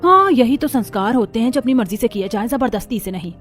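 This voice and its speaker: A woman's voice: on the high side, expressive, fast talker, faint music behind, natural voice. A woman voicing a chatty, forthright, traditional neighbour in a Hindi cartoon story. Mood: approving.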